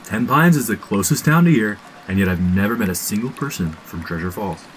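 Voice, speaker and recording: A jumpy, exasperated young man played by a male actor with sardonic, slangy delivery. His pitch swings widely in this horror audio drama, with faint noise around him. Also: lively intonation, brisk, lightly acted, low-quality recording. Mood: sarcastic, heated.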